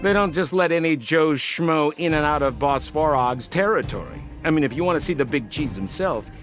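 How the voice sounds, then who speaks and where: A man playing a smooth-talking, boastful young swindler guide in a video game, with a theatrical, wheedling salesman's manner. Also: fairly high pitch, normal speed, a bit bright, soft background music, lightly acted. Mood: breezy explaining.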